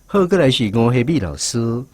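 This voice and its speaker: A man's voice: medium pitch, sing-song, normal speed. A man with a Taiwanese accent hosting a radio segment and introducing speakers. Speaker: host of a language quiz segment.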